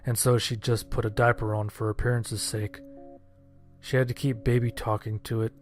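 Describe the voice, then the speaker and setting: A man narrating a scripted first-person horror-comedy story in a deadpan, sardonic manner. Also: lowish voice, moderate pace, faint music behind.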